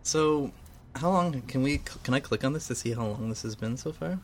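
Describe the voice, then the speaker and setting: A man co-hosts a homemade radio show with a joking, rambling and self-deprecating manner. His voice sits at a middling pitch, and a faint hiss of noise runs under the recording. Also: a little fast. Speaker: co-host. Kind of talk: casual chat.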